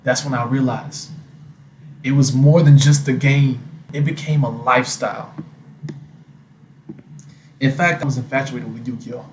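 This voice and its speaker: A man's voice: mid-range voice, steady tone, unhurried, pauses often, a little mellow. A man telling a scripted personal story as narrator, recorded in an echoey room with background noise. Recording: roomy echo, noisy background.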